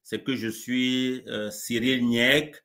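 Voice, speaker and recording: A man, a coach being interviewed live over a video call, speaking in a warm, measured way with frequent restarts. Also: medium pitch, level tone. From Cameroon. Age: 40s. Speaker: coach and guest.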